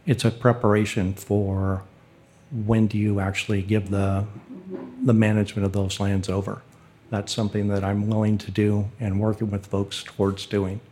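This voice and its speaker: A man with a low voice speaking unscripted as a panelist, plain-spoken and somewhat halting. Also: level tone, warm tone, slight background noise. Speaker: panelist, state park official.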